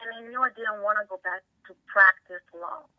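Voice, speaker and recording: A woman's voice: medium pitch, lively intonation, fairly quick, dry recording, phone-quality sound. A middle-aged woman from Italy with an Italian accent, answering conversationally as an interview guest. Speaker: interview guest, company founder.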